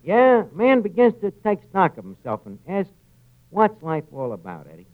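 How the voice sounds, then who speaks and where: Philosophizing New barkeep, classic radio comedy. Ungrammatical, mock-grand, very animated, on a phone-thin old broadcast. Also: male voice, high-pitched, normal speed. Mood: mock philosophical. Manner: mock-grand pontificating in slangy, ungrammatical speech. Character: uneducated, philosophizing tavern manager, malapropism-prone wiseguy. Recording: tinny, noisy vintage radio broadcast.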